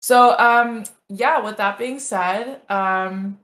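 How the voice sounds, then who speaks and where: A woman's voice: mid-range voice, a bit bright, roomy sound. Earnest young woman on a stream. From United States. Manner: earnest, fast, many ums and restarts. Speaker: political streamer, guest. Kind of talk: casual talk stream conversation.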